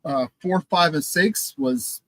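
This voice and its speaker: A man co-hosting a casual podcast chat and reading out news items, animated and halting, with many fillers. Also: sits high, dry recording. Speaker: podcast co-host.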